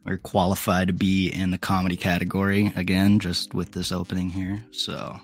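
Deadpan, very low male co-host. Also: normal speed. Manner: stone-cold monotone, deadpan. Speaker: podcast co-host. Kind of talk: casual chat.

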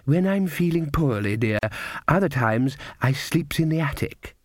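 A man voicing a simple, kindly old grandmother with a gentle, naive way of talking. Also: fairly high pitch, animated, unhurried, no echo, studio quality.

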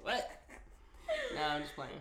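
A woman co-hosting a casual couple's chat, talking quickly with words running together. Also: fairly bright tone, roomy sound. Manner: mumbles, words run together. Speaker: podcast co-host.

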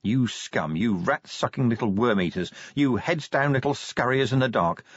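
A man's voice: medium pitch, very animated, a little fast, husky, no echo, highs cut off, voice pushed up. A man voicing a tough middle-aged male city watch commander in an audiobook, blunt and commanding. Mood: furious. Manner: blunt, commanding, scornful when angered. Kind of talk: audiobook character voice.

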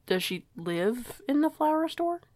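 A woman's voice: high-pitched, very animated, a little slow, a little mellow, dry recording, studio quality. A woman playing a flustered, curious young newcomer in an audio roleplay, with a hesitant, rambling way of talking. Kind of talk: audio roleplay acting, casual dialogue.

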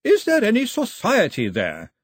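Male narrator putting on a high, snapping, domineering old grandmother, haughty and sharp; husky edge. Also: huge pitch swings, moderate pace, no echo, full character voice. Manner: clipped, snapping, commanding.

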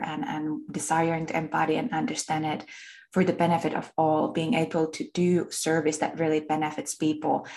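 A woman's voice: low voice, creaky, a little mellow. A woman from Finland with a Finnish accent, earnestly explaining her teaching with many fillers and repeated words for emphasis. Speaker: frequency work teacher. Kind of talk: spoken introduction and teaching.

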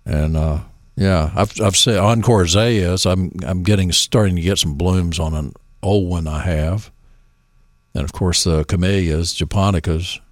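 A man hosting a call-in garden radio show, talking loudly and jokily with callers and guests. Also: low-pitched, moderate pace, dry recording, clean studio sound. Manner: loud, lively, jokey.

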